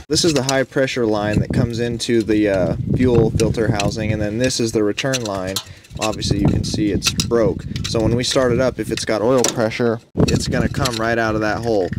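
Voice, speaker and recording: A man's voice: mid-range voice, heavy background noise. A young man hosting a hands-on vlog outdoors, enthusiastically explaining an old engine as he works. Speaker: channel host. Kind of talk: casual hands-on vlog narration. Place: outdoors in the desert by an old truck.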